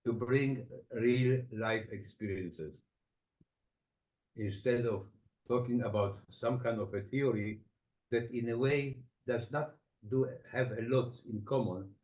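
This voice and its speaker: Low-voiced reflective man with Estonian accent. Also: a little mellow. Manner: reflective, explains with everyday examples, frequent pauses. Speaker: psychotherapist. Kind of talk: interview answers. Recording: dull lo-fi sound.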